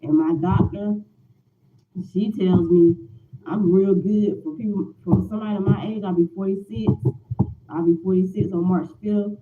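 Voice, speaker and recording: A woman's voice: mid-range voice, steady tone, moderate pace. Forties woman vlogger, chatty and meandering; recorded on a tinny line in a roomy, noisy space. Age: 40s. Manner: rambling, repeats herself, frequent "um" fillers. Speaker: lifestyle vlogger. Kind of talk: casual morning chat to viewers.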